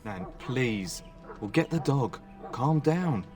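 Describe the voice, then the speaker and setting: A man's voice: medium pitch, animated, slow, a little mellow, distant mic, faint music behind. A man playing a wry, easygoing young castle knight in fantasy video game acting, placating in manner.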